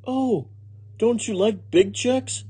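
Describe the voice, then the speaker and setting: A man's voice: high voice, slow pace, mellow, slightly rough, pitched above the performer's own voice, full character voice. A man voicing a cheerful, literal-minded woman housekeeper in a children's story, with folksy, earnest phrasing. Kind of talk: children's storybook character reading.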